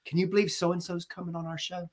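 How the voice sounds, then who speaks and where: A man's voice: high-pitched, flat delivery, fast talker. A man hosting a casual interview show in a conversational, chatty style. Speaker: talk show host.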